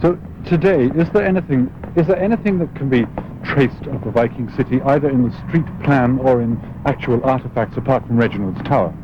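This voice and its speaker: A man's voice: mid-range voice, a little fast, noisy background. A man interviewing a historian while walking through city streets, prompting him with questions. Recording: muffled 1980s broadcast outdoors.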